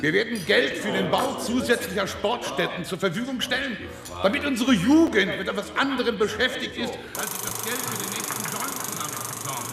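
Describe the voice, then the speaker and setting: An actor playing a wary male businessman turned political candidate in a radio drama, with a gravelly voice. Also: high-pitched, expressive, fairly quick, crowd noise. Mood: declaiming. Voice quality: gravelly creak.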